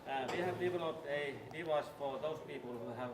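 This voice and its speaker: A man's voice: a little high, fairly even intonation, brisk. A man from Finland steadily explaining his health device at a startup event. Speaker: startup founder pitching. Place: large event hall. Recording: roomy hall from far off, crowd noise.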